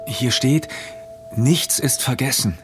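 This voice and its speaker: A man's voice: medium pitch, takes it slowly, music in the background, natural voice. An actor playing a thoughtful, suspicious man among friends in a naturalistic audio drama. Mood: suspicious, pondering.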